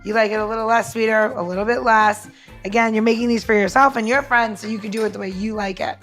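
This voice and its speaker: A woman's voice: fairly high pitch, steady tone, fast talker, a bit bright, soft background music. A woman podcast co-host casually walking listeners through making a cocktail, with a chatty, loose delivery full of fillers.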